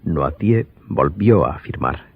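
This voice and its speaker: A man's voice: rumbling low, animated, measured pace, husky. A man narrating a scripted radio drama as the storyteller. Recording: slightly dull audio, music behind.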